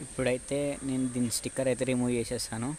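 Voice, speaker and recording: A male vlogger with a Telangana Telugu accent talks through a casual unboxing review. His pitch is mid-range and fairly flat, and he explains in halting pieces sprinkled with English. There is some noise and ambience behind him. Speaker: motovlogger presenting a product review.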